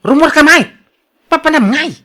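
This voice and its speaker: Radio drama role: protesting Ilocano spouse played by a woman, fairly high, sharp voice, exclamatory and querulous. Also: normal speed. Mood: surprised, protesting.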